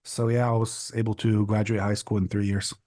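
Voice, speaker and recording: A man's voice: on the low side, level tone, brisk, mellow, no echo, clean studio sound. A young man from the Republic, a podcast guest telling his story in a casual, rambling way with lots of fillers. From Czech Republic. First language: Czech. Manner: rambling, restarts and stacks of fillers. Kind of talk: casual interview chat.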